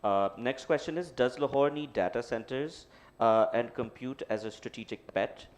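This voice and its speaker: A man with a Pakistani English accent moderating a panel, speaking briskly and affirming what the panelists say. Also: medium pitch. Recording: faint background noise.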